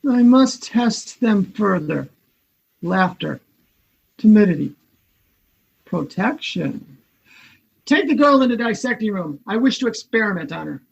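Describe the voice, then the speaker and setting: Slow croaky old man, desperate and dramatic, old radio play, muffled sound. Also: high voice, animated, full character voice. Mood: probing. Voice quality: gravelly, husky. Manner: halting, dramatic, muttering then crying out. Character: weary, distraught old man, last human alive.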